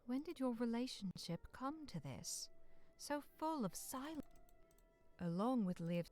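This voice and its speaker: A woman narrating a dark winter fantasy visual novel as a solemn storyteller, in scripted literary style. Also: on the high side, big pitch swings, a little slow. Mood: solemn, brooding.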